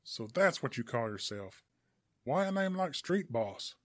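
A man voicing a plucky, wry male superhero with a gravelly, husky voice in an audiobook. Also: medium pitch, big pitch swings, a little slow, no room sound, studio quality. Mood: challenging.

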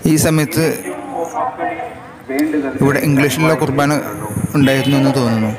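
A man with a Malayali accent narrating a travel vlog slowly and in measured, short separated phrases. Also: medium pitch, over a noisy crowd. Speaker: vlogger. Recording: loud music over the voice. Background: loud music.

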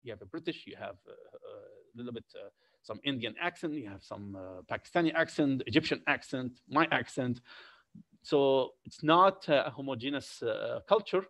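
Middle-aged doctor guy w/ arabic accent, talks slow and deliberate w/ lots of uhs, repeats his points, mid-pitch voice, dry sound. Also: expressive, fairly bright tone, dry recording. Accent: Syrian Arabic with a slight French tinge. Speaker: physician giving a career talk. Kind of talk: slide lecture to medical students.

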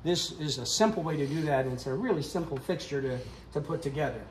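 A man's voice: on the high side, fast, a little hiss or hum. Chatty older man touring a wood shop. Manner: chatty, explanatory, joking. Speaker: woodworking school owner. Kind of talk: casual shop tour talk. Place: large woodworking shop, indoors. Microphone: camera held by interviewer.

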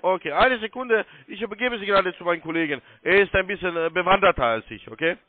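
A man with a slightly high voice gives phone sales instructions as a call centre agent. He is insistent and repeats himself. The sound is a narrow, muffled phone line, dry and free of room sound. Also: expressive, fast, no room sound. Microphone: telephone call.

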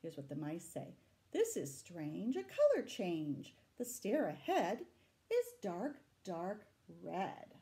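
A middle-aged woman reading a picture book aloud to children, dramatic and deliberate, adding asides and questions for her young listeners. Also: lowish voice, huge pitch swings, dark tone. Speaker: library storytime reader. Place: small room indoors. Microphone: camera a short distance away.

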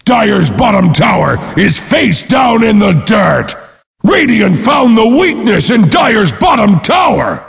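A boastful brute warrior announces a video game in a high, gravelly bellow. He is pompous and brash, swinging wildly in pitch, with a long reverb tail on his voice. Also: very animated, slow, raised voice, soft background music, exaggerated voice. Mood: booming, forceful. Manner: pompous, speaks of himself in third person.

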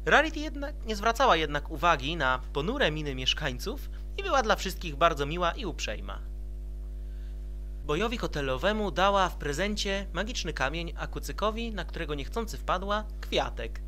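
A man's voice: a little high, sing-song, moderate pace, bright, forceful tone, slight background noise. A man reading scripted story narration for an amateur comic dub.

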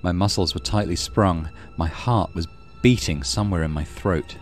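Deep-voiced man narrating a horror game. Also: moderate pace, faint music behind. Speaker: gaming channel host. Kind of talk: reading a horror visual novel aloud in a let's play.

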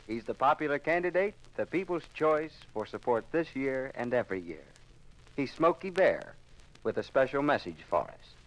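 An American man announcing in folksy, showmanlike 1950s radio style, building up an introduction. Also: medium pitch. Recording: dull 1950s radio recording.

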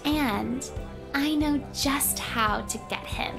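High-pitched lovestruck schoolgirl cartoon chicken. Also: slow pace, creaky, over music, heavily acted. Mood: smitten. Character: lovestruck teenage girl animatronic chicken, dreamy and obsessive. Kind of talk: scripted video game cutscene narration.

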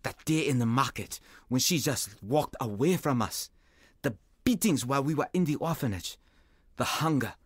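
A man's voice: on the high side, slightly rough, dry recording, studio quality, heavily acted. An actor playing an earnest, weary evangelist who tells his life story in a radio drama. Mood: anguished. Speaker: actor narrating and playing a preacher. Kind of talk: radio drama acting and first-person narration.

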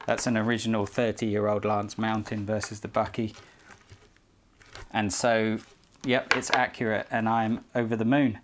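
Low-voiced British man vlogging. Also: slight background noise. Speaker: skateboard collector vlogger. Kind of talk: casual talk to camera, showing a product. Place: small indoor room at home.

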